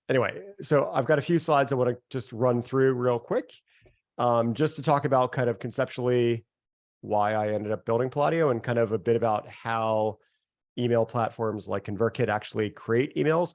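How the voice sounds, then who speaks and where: A man getting over a cold, with a nasal voice, giving a relaxed, conversational workshop presentation over a video call. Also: mid-range voice, vocal fry, no echo, clean studio sound. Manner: relaxed and conversational, chuckles at himself. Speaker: software founder presenting a workshop. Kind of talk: casual live webinar presentation.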